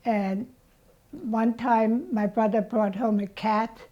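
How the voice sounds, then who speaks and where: A woman, telling her childhood story as an interviewee in a slow, reflective way. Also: a little high, fairly even intonation, mellow, muffled recording. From Germany. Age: 80s. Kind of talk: reminiscing about childhood.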